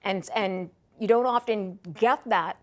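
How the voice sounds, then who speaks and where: A woman's voice: medium pitch, unhurried. A woman working as a news interviewer, conversational and attentive, chiming in with agreement.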